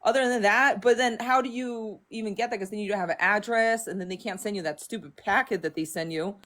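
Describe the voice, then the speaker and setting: A woman's voice: mid-range voice, brisk, a bit bright. A woman in her thirties who grew up in Denmark, calling into a live stream and ranting with emphatic, fed-up bursts. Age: 30s. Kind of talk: impassioned rant.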